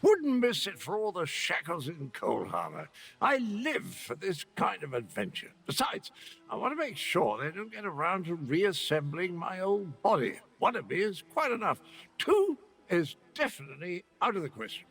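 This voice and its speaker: Wry undead oddball from a fantasy game, high gravelly voice that swoops around, rambly and theatrical. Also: male voice, wildly swooping pitch, moderate pace, a bit bright, faint music behind, full character voice. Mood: eager, wry. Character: chatty eccentric undead former soul shriver, self-mocking. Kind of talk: video game character acting.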